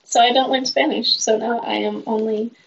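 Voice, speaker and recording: A teenage girl chatting casually on a podcast, saying "like" a lot and trailing off softly at the ends of thoughts. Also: fairly high pitch, steady tone, normal speed, vocal fry, breathy edge. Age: teens. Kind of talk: casual chat. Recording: dull lo-fi sound.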